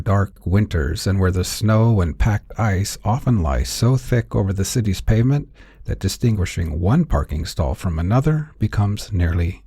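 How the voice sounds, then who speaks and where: A man narrating scripted noir fiction in a measured, wry, theatrical storyteller's manner. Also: low voice, mellow, breathy edge, dry recording, studio quality. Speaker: narrator and author.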